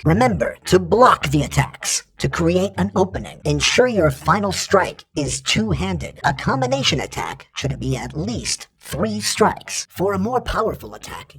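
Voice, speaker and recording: An actor voicing an exacting combat training droid in a video game, formal and impassive, with a gravelly, husky robotic voice. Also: male voice, high voice, a bit bright, full character voice, rough recording. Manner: formal, impassive, precise, instructional. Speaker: combat instructor. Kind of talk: scripted video game tutorial lines.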